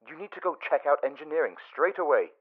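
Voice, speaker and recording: Pushy mission handler: animated, commanding, heavily radio-filtered, thin and boxy. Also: male voice, on the high side, fast talker, slightly rough, no echo, phone-quality sound. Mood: urgent, bossy. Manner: urgent, commanding. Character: bossy, impatient, suspicious male mission handler. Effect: radio communicator filter. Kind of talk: sci-fi radio drama acting. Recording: band-limited radio comms sound.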